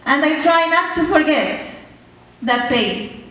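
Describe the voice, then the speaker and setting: A woman from Syria giving an earnest prepared speech about being a refugee, speaking slowly and with measured care. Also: sits high, animated, fairly bright tone. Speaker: speaker sharing refugee story. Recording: echoey hall from far off.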